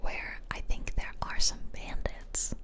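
A woman whispering casual, musing ASMR commentary close to the microphone as she plays a game. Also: slow pace. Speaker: game streamer. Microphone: close microphone, ear-to-ear whispering.

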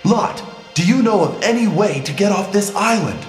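An actor playing an earnest, protective amnesiac detective in a video game, with halting, stilted delivery. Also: male voice, sits high, lively intonation. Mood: puzzled. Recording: echoey game audio with music behind.

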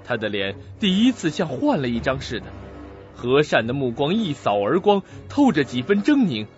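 Animated male suspense narrator. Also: medium pitch, normal speed. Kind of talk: scripted dramatic suspense narration. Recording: dull audio with music behind.

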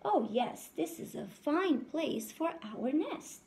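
A woman plays a swarm of eager, stubborn bees from a storybook. The voice is high and swooping, with an emphatic singsong delivery. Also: sing-song, slow pace, darkish tone, exaggerated voice.

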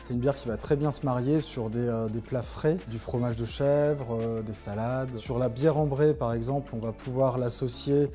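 Male, 30s, craft producer in an explanatory interview. Medium pitch, dark relaxed timbre. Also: normal speed, hardly any pauses, soft background music. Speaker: brewery co-manager. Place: indoors in a brewery tasting room.

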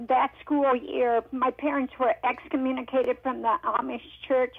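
An earnest woman from the American Midwest tells her story as a radio guest, pausing often and filling gaps. Her voice sits high. It comes through a narrow telephone line with no room sound. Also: vocal fry, husky, dry recording. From Iowa and Missouri, United States. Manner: earnest, halting, with many fillers. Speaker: guest author. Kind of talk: personal testimony in a radio interview. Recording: tinny phone line, dry.

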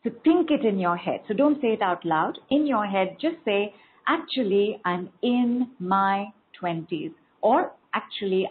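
Tinny-sounding woman coaching with emphasis. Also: mid-range voice. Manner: emphatic, repeats key words in rhythmic lists. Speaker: wellness coach. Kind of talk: motivational teaching talk.

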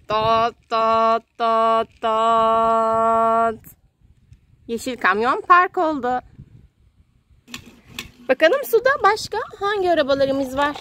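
A woman with a high, bright voice talks slowly and gently to her small child. She counts toy cars in a sing-song way and makes little engine and siren noises. It is recorded outdoors on a phone held close. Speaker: mother playing with her child. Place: outdoors in a garden.

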